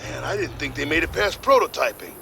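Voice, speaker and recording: Casual ship engineer, fairly high voice, gravelly, animated intonation, breezy, enthusiastic, game dialogue. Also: male voice, a little fast, background noise. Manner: breezy, informal. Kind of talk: video game voice acting.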